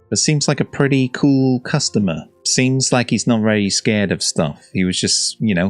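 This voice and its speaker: A man's voice: low-pitched, no echo. A man giving casual, chatty, jokey reaction commentary on game trailers. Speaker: gaming reaction host.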